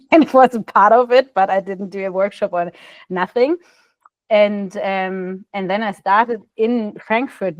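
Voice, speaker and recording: Animated woman on a dry video call. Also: medium pitch, dry recording. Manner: animated, gestures a lot, frequent restarts and "no?" tags. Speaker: podcast guest. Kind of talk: casual interview storytelling. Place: bright room indoors.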